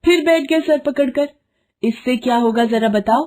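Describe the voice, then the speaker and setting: A woman's voice: sits high, normal speed, a little mellow, voice pushed up, lightly acted. A woman voicing a pushy, scolding young-sounding village wife in a children's cartoon story. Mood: nagging, urging. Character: nagging, ambitious village wife of a poor farmer.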